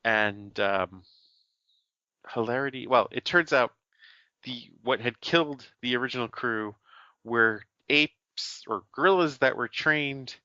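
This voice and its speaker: A man's voice: medium pitch, slow pace, bright, forceful tone, clean tone, dry recording, dull audio. A man co-hosting a podcast, summarizing a film plot in casual chat, with many fillers and restarts. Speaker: podcast co-host.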